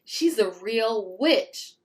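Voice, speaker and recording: A woman's voice: on the high side, lively intonation, drawn-out words. A woman voicing a big, knowing schoolkid in a storybook who warns and taunts younger pupils with emphasis. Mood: taunting. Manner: emphatic, ominous warnings.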